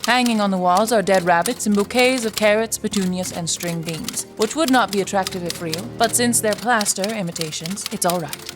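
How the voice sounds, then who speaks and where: An actress as an imperious young heiress of a radio play, cool and sardonic at a medium pitch. Her delivery is dry, cutting and poised, with clear music behind. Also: brisk, hardly any pauses, natural voice. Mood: dryly mocking. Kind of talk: radio drama acting.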